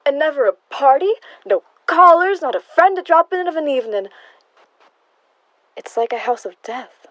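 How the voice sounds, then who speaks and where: High-voiced, uneasy housemaid Maggie, acted by a woman. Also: lively intonation, normal speed, fairly bright tone, dry recording, low-quality recording. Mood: spooked, plaintive. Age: young adult. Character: Maggie, uneasy, plain-spoken housemaid quitting a gloomy house. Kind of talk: stage play acting in an audio drama.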